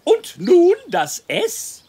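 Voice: sits high, wildly swooping pitch, very slow, dark tone, exaggerated voice. An actor voicing a prim male gander schoolteacher who patiently instructs and corrects in a children's cartoon. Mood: correcting. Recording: birdsong noise throughout.

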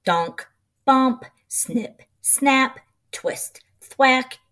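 A middle-aged woman reading a children's picture book aloud in an expressive, rhythmic, sing-song way. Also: sits high, extremely slow.

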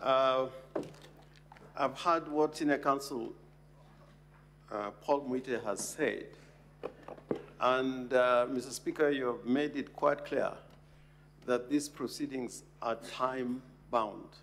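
Older kenyan man, senator, very slow, gravelly voice, desk mic. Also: medium pitch, a bit bright, a little hiss or hum. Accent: Kenyan English. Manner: deliberate, hesitant with fillers. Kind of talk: parliamentary remarks. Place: large parliamentary chamber. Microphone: desk microphone.

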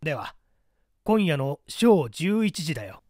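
A stern male underground agent acted out by a male reader issues formal, clipped orders in an audiobook drama. His voice is fairly high and moves widely in pitch, with an imperious, authoritarian edge. The sound is close and dry. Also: big pitch swings, takes it slowly, a little mellow, dry recording. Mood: formal, commanding. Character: stern, commanding male secret-party courier in a mask.

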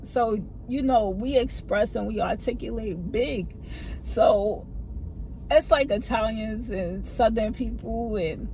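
Middle-aged woman vlogger, casual storytime from her car seat, low voice, expressive. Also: a little hiss or hum, low-quality recording. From family from the American South. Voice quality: low voice that people find hard to hear. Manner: animated, talks with her hands. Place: parked car, inside. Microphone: phone camera mounted in front of her.

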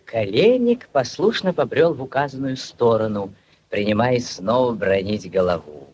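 A man narrating a 1940s radio play in a solemn, lyrical, theatrical manner with drawn-out phrasing. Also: mid-range voice, lively intonation, muffled recording, slight background noise, natural voice. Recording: 1940s radio recording with music behind.

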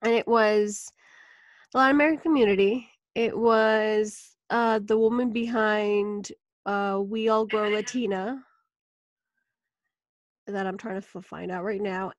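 A woman's voice: mid-range voice, dry recording. Chatty woman podcast host, dry sound. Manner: chatty, filler-heavy, laughs easily. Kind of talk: casual conversational interview.

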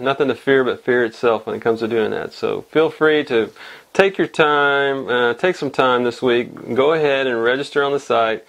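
A man's voice: mid-range voice, low-quality recording. Host, man, 40s, loose rambling update from his tying room, clip-on mic, a bit under the weather. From Texas. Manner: rambling, many uh fillers and restarts. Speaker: fly fishing show host. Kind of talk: casual weekly update to camera. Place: indoor fly tying room.

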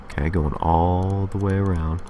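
A man's voice: low-pitched, steady tone, unhurried, dark tone, slight background noise. A man hosting a casual game building tutorial, explaining methodically step by step and often checking in with a short okay. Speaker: tutorial host.

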